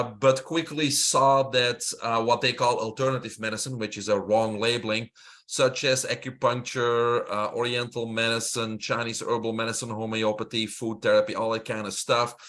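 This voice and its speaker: Belgian-accented middle-aged man explaining steadily. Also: mid-range voice. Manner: steady, explanatory, frequent "uh" fillers. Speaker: guest, holistic health mentor. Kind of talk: interview answer, casual.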